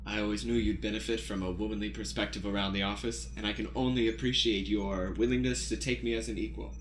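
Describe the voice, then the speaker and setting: Actor doing a polite proud lady assistant to a scientist, deadpan n prim, radio play, echoey w faint noise. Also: medium pitch, fast talker, close to an everyday voice. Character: polite, dutiful female laboratory assistant of the 1900s, quietly proud. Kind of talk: improvised comedy radio play. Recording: roomy echo, light background noise.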